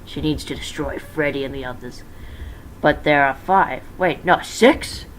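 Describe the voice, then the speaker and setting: A man's voice: a little high, a little slow, a bit bright, low-quality recording. Young man informally narrating a fan story. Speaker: amateur fan-fiction reader. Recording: faint background noise.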